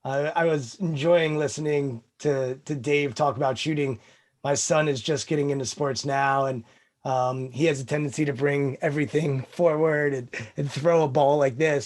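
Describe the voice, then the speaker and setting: Brief: man in his thirties, mid-pitched voice, warm and eager, talking on a panel call. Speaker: mental performance consultant. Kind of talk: panel discussion on a video call.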